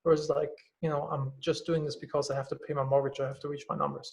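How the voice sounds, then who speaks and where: A quick, gravelly-voiced man with a German accent chats candidly on a podcast. His voice sits a little high for a man, and he talks in a loose, filler-heavy way, dropping the odd swear word. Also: little pitch movement. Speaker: guest, company CEO. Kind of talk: casual podcast conversation.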